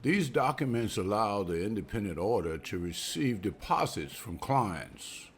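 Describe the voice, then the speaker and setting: A man reading the part of a cautious, businesslike male bank official at a script table read, in a measured, guarded manner. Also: on the low side, expressive, slight background noise.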